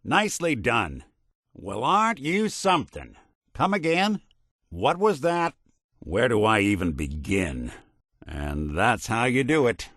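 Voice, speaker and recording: Weary old-school robot detective, american, deepish, laconic but pitch moves around a lot, good-hearted game character. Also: male voice, fairly bright tone, dry recording, studio quality, full character voice. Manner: wry, laconic, folksy. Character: world-weary, principled synthetic detective, middle-aged man. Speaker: voice actor. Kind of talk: video game character dialogue, old-time detective manner.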